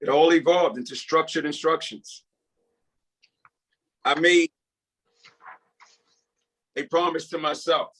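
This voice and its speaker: A man reading the part of a repentant, humble prison inmate, earnest and deferential, in a halting script table read. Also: fairly high pitch, a bit bright, poor audio. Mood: reflective, remorseful. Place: video call.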